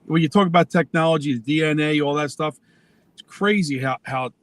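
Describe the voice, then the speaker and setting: A man's voice: fairly high pitch, brisk, no echo. A man in a casual panel chat, rambling and trailing off mid-thought.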